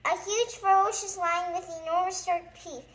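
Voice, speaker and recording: A woman voicing a jittery, easily terrified little man, crying out in shrill breathless panic. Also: steady tone, normal speed. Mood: panicky.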